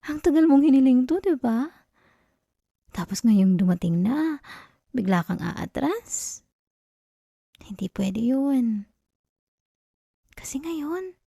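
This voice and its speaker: A woman playing a teasing, possessive young yandere girlfriend in an intimate ASMR roleplay, soft and breathy with a Filipino accent, coaxing and often slipping into whispers. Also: mid-range voice, expressive, no room sound, clean studio sound, full character voice. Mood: possessive, insistent. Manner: slow, coaxing, giggly teasing. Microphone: close, dry ASMR microphone.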